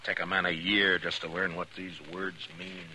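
An American actor playing a weary, stern, principled frontier marshal in a 1950s radio western. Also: male voice, low voice, flat delivery, moderate pace, close to an everyday voice. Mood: pensive, urgent. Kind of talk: 1950s radio drama acting. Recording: 1950s radio recording, faint noise.

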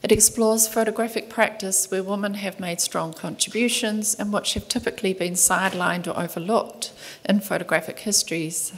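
A middle-aged woman with a New Zealand accent reading a conference paper in a soft, measured voice, from a table mic in a large lecture hall. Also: medium pitch, steady tone. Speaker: conference speaker, museum curator. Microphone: table microphone at panel desk.

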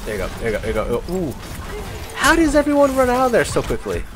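Young male gaming host with a head cold, animated pitch, music bed clearly audible. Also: quick pace. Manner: chatty, laughing, swearing at close calls. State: congested from a cold. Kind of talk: casual gameplay commentary. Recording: music playing clearly underneath. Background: game music and sounds.

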